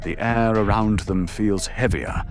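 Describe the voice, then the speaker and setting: A man's voice: lowish voice, measured pace. A man reading scripted, dramatic narration as the narrator of an audio drama. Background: music.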